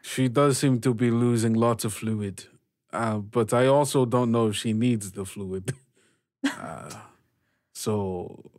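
A man voicing a flustered but dutiful ship's doctor in a tabletop game, halting and mumbling. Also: medium pitch, a little mellow, no room sound, studio quality. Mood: flustered, uncertain. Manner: halting, full of hems and mumbles.

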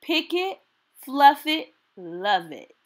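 Slow, lilting high-voiced woman reading aloud. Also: sing-song. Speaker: storytime host reading aloud. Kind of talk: children's picture book read-aloud.

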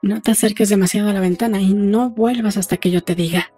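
A woman's voice: mid-range voice, steady tone, quick pace, dark tone, breathy edge, soft background music, natural voice. A woman playing a playful, defiant young woman in love in a dramatised audiobook, speaking with intimate assurance. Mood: coaxing.

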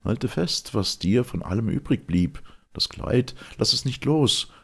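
A man's voice: mid-range voice, lively intonation, measured pace, vocal fry, husky, pitched above the performer's own voice, full character voice. A man voicing a stern, commanding old mythical crone, declaiming urgently in verse.

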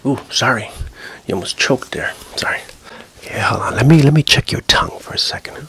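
A man whispers his way through a comedic ASMR checkup, his voice mostly breath and a gravelly fry. He plays a sketchy doctor, talking soft and close to the microphone. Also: medium pitch, expressive, fairly quick.

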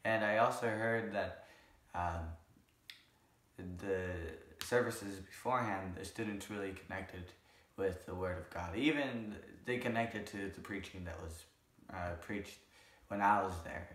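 Young man in his twenties, hoarse, very low voice, earnest rambling vlog talk in a roomy living room. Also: steady tone, measured pace, fairly bright tone. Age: 20s. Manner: earnest, rambling with self-corrections. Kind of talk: casual vlog recap. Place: living room couch, indoors.

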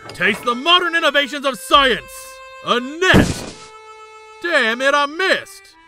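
A man voicing an eccentric, excitable old gentleman in a comedy cartoon, florid and over-dramatic. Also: high voice, unhurried, faint music behind, full character voice. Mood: triumphant, excited.

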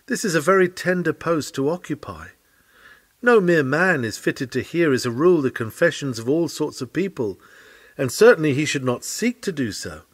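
A man reading an old-fashioned sermon aloud from a script in a measured, formal preaching manner. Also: fairly high pitch, lively intonation, slightly rough, dry recording. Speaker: sermon reader.

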